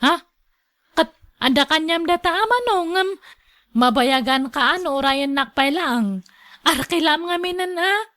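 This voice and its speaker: Young Ilocano village woman, high voice, coy, hesitant, hardworking, radio drama. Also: animated, measured pace, long pauses, fairly bright tone. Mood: coy, friendly.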